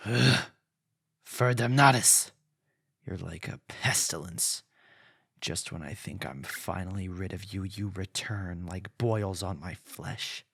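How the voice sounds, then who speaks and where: A man's voice: low-pitched, vocal fry, fairly bright tone, slightly rough, no room sound, clean studio sound, heavily acted. A man playing a sinister, arrogant vampire lord in a fantasy audio drama, pompous and taunting, with theatrical delivery. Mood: contemptuous, wrathful. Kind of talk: villain acting in fantasy audio roleplay. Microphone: close, dry studio-style mic.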